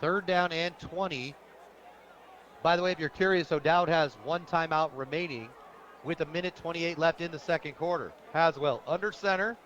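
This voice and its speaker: A high-voiced American man gives live football play-by-play for radio. He is animated, colloquial and free with his opinions. The sound is muffled, with clear outdoor stadium noise behind him. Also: normal speed. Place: stadium press booth, radio broadcast.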